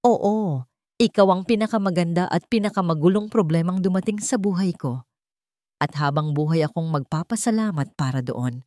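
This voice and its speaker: A woman's voice: fairly low pitch, normal speed, warm tone, no echo, studio quality, lightly acted. A Filipino woman voicing a devoted, playful man in love within a romantic story narration. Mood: tender. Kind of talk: acted dialogue in story narration.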